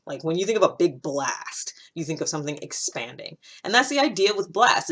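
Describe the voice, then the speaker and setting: A woman in her thirties giving a casual explanatory talk to camera, thinking aloud with circling asides. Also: lowish voice. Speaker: personality-typing video host. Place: small indoor room.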